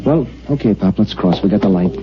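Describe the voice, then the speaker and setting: An actor playing an excitable, reluctant male newspaper reporter with slangy, ungrammatical speech in an old radio drama. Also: fairly low pitch, a little fast, lightly acted. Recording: muffled, noisy old-time radio recording.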